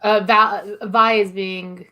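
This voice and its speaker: A woman's voice: medium pitch, little pitch movement, slow pace, sharp tone. A woman guesting on a podcast, chatting casually in a conversational way peppered with "like". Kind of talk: casual review chat.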